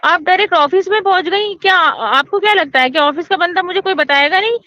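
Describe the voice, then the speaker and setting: A woman's voice: extremely high pitch, brisk, sharp tone, dry recording. A woman from arguing insistently on a phone call, scolding and talking over the other person. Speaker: agent handling housing forms. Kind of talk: heated phone argument.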